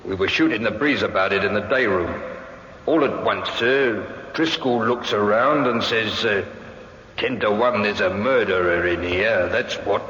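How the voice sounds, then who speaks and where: Army sergeant, sharp forceful voice, plain-spoken, colloquial, radio drama, long reverb, dull vintage sound. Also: male voice, lowish voice, a little slow. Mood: recounting. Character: plain-spoken army sergeant, male witness giving testimony. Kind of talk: 1950s radio drama acting. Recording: muffled, echoey old radio recording.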